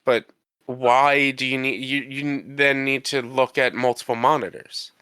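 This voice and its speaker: Congested podcast co-host man, bright crisp tone, rambles and defends himself. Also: medium pitch, level tone, measured pace, dry recording, clean studio sound. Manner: rambling, defensive, restarts often. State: congested from a cold. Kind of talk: casual chat.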